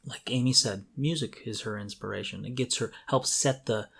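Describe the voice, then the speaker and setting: A man's voice: mid-range voice, normal speed, creaky, bright, forceful tone, slightly rough. A middle-aged man co-hosting a podcast, talking casually and reflectively as he thinks aloud. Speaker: podcast co-host. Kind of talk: casual conversational talk.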